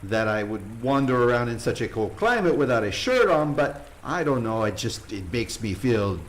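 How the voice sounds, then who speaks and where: A man playing a cheerful, boastful adventure guide with a Latin American Spanish accent, earnest and halting as he searches for words in a comic mockumentary. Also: mid-range voice, lively intonation, close to an everyday voice, rough recording.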